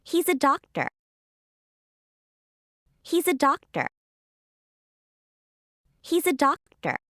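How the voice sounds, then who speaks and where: A woman acting a thirteen-year-old girl tennis player in a scripted textbook dialogue, giving short, slow, clearly spaced answers. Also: high voice, wildly swooping pitch, a bit bright, dry recording, studio quality, full character voice. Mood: plain. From Canada.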